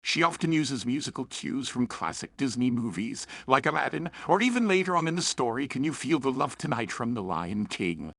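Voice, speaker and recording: A man's voice: mid-range voice, big pitch swings, normal speed, hardly any pauses, a bit bright. A man hosting a scripted review in a chatty, opinionated way, with playful, self-aware asides. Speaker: host and reviewer of fan fiction and audio dramas.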